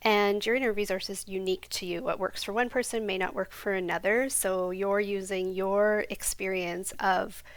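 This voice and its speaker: Soft-spoken woman meditation guide. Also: medium pitch, steady tone, smooth, dry recording. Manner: slow, soft and soothing, sometimes half-whispered. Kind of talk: guided yoga nidra meditation.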